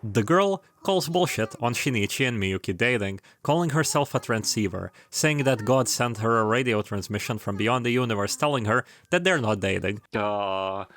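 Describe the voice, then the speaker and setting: A man's voice: fairly low pitch, animated, moderate pace, few pauses, fairly bright tone, a little hiss or hum. A man narrating in a casual, scripted video style, with wry, irreverent, slangy joking asides. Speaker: video essayist.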